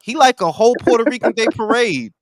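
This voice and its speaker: A man hosting a casual boxing talk show, animated and slangy, calling everyone bro. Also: very high-pitched, fairly quick, a loud crowd. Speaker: podcast host.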